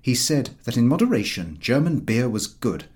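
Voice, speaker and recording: A male audiobook narrator reads comic prose with a wry, expressive delivery. His mid-range voice moves in lively rises and falls, shaping each sentence as storytelling. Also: medium pitch, normal speed. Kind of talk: scripted narration of a humorous story.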